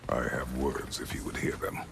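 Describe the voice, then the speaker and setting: An actor playing a stern, weary middle-aged warrior god in a video game, speaking tersely and formally. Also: male voice, a bass voice, flat delivery, moderate pace, vocal fry, noisy background, full character voice. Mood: grave, restrained. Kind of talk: video game character acting.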